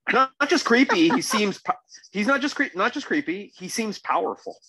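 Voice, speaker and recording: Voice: mid-range voice, animated, fairly quick, bright, forceful tone, husky, dry recording. A podcast co-host giving casual, emphatic commentary on a TV episode, repeating phrases to make a point.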